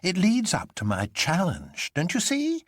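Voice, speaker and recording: Man voicing exuberant pompous gentleman, swooping pitch. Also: huge pitch swings, no echo, clean studio sound, full character voice. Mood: gleeful. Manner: pompous, grandiloquent, deliberate. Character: Syme, exuberant, unflappable gentleman given to pompous nonsense.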